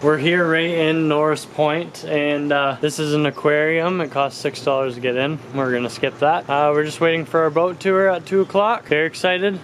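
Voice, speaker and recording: A man's voice: on the high side, fairly even intonation, normal speed, few pauses, fairly bright tone, slight background noise. A young man in a casual travel vlog, chatting upbeat to a camera held at arm's length while hiking outdoors. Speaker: travel vlogger. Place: outdoors on trails and coastal lookouts.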